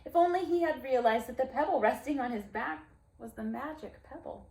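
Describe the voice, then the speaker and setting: A woman reading a picture book aloud to young children, expressive and gentle, with friendly asides to her listeners. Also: high-pitched, a little fast, vocal fry, rough recording. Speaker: storyteller and teacher for young children.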